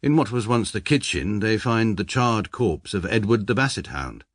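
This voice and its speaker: An actor playing a formal, deadpan British barrister who narrates in a radio drama. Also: male voice, on the low side, moderate pace. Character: stiff, precise English barrister narrator, middle-aged man.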